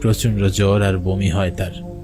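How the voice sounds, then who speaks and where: A man's voice: sits low, little pitch movement, moderate pace. A man narrating a first-person ghost story for a cartoon in a dramatic way. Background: music under the voice.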